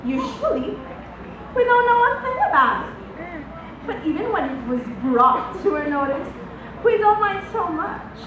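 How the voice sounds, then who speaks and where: Woman playing a high-voiced, grand, worldly stage actress. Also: big pitch swings, heavily acted. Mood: amused, lofty. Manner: grand, deliberate, teasing, with dramatic pauses. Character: worldly, amused, self-assured stage actress lecturing a jealous wife. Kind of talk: theatrical one-act stage play acting. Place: large auditorium stage, distant microphone. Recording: echoey noisy auditorium from far off.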